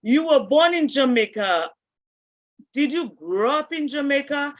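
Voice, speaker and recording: A woman's voice: high voice, animated, measured pace, dry recording. A woman hosting an online interview and greeting her guest and audience over a video call. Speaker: interview host. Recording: dull dry video-call audio.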